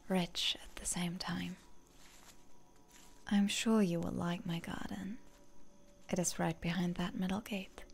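A woman playing a seductive, genteel lady vampire in a breathy, coaxing whisper for roleplay ASMR. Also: on the low side, a little hiss or hum.

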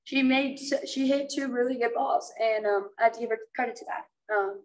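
A young woman college softball pitcher answering press questions, halting with many ums. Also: steady tone, far from the mic, low-quality recording. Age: young adult. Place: press room, seated at a table.

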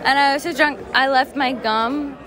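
A woman's voice: sits high, steady tone, normal speed. Giggly young woman telling a story. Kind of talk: casual storytelling. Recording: music and crowd behind.

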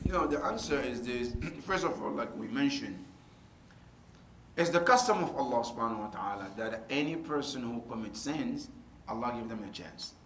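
Gesturing cleric in an echoey mosque hall. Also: male voice, medium pitch, a little hiss or hum. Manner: explanatory, animated with hand gestures, quotes Arabic verses quickly. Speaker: cleric answering questions. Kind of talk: religious Q&A teaching. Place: mosque prayer hall, seated on floor. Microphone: floor mic stand beside him.

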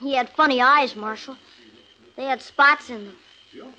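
A high, bright young voice of a frontier kid. The child is plucky and earnest, in a 1950s western drama. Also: a little slow, heavily acted, low-quality recording. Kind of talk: 1950s radio western acting.

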